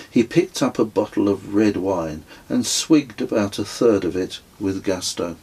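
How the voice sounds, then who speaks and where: A middle-aged man narrates a travel memoir he wrote himself. His voice is low and husky, and he reads very slowly, phrase by phrase. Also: darkish tone, poor audio. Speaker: author reading own book.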